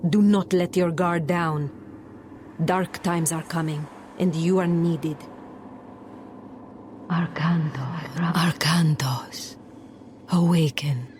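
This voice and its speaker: A woman's voice: low voice, fairly even intonation, a little mellow, slight background noise. An actress voicing a solemn female goddess speaking with an ethereal, distant voice, in a video game cutscene. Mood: ominous. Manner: slow, solemn, whispering.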